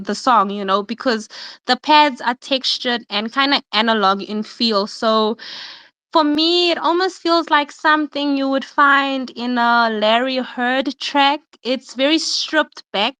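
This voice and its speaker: A woman's voice: on the high side, measured pace, bright, forceful tone, smooth, dry recording. A young woman, a guest artist chatting thoughtfully about her music in a casual interview. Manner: reflective, talking through her music with fillers and laughs.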